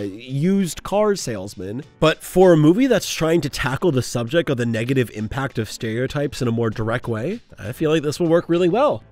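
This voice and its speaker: Casting: young male video host, casual, lively swooping pitch. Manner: animated, with sudden jumps in pitch and laughs.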